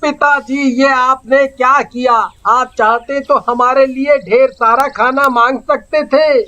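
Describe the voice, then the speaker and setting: A woman's voice: high-pitched, steady tone, takes it slowly, pushed and bright, muffled recording, full character voice. A woman voicing a fisherman's eager young son in a story, childlike, pleading and emphatic. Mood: reproachful, eager.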